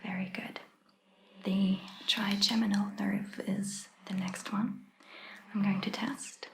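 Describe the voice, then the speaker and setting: A woman playing a gentle, attentive vampire doctor in a soft ASMR roleplay, whispering breathily and giving soothing, reassuring instructions. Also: mid-range voice, steady tone, slow pace, vocal fry, echoey room, slight background noise. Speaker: ASMR performer.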